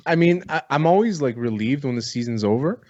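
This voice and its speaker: Casting: male sports podcast co-host, mid-pitched voice, outspoken and salty, informal banter. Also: expressive, fairly quick, darkish tone, lo-fi sound. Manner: blunt, profane, opinionated.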